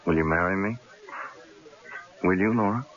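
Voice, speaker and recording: An old radio drama recording with muffled sound. a flippant, self-assured newspaperman. His voice is deep yet crisp-edged and bright, with a wry, teasing manner. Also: moderate pace.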